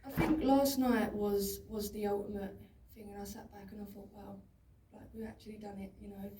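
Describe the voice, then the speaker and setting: A woman's voice: mid-range voice, level tone, moderate pace, warm tone, a little hiss or hum. A young woman, an actress, answering audience questions on stage in plain-spoken, down-to-earth words. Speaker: lead actress at a film Q&A. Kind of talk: candid personal answers on stage. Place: large cinema auditorium, on stage. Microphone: handheld mic through the hall speakers, filmed from the audience. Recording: echoey hall, heard from far off.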